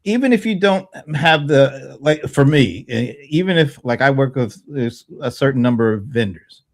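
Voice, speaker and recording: A man in his fifties in casual panel chat, thinking aloud in a halting way and repeating words. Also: medium pitch, expressive. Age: 50s. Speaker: panelist on a small business show.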